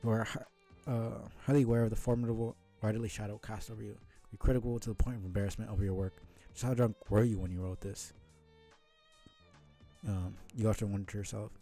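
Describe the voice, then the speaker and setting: A man streaming a casual read-aloud of a comic, with halting, muttered asides. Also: on the low side, warm tone. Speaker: streamer reading a webcomic aloud.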